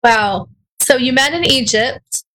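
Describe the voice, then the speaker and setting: A woman's voice: high voice, slow, sharp tone, low-quality recording. A woman hosting a radio interview, chatty and playful with her guest. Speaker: radio show host.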